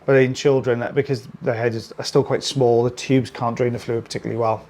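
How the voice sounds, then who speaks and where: A man with a British accent, an ear clinician, calmly explaining a procedure in relaxed, chatty talk with frequent fillers. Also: medium pitch, a little fast. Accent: British English. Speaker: ear wax removal clinician.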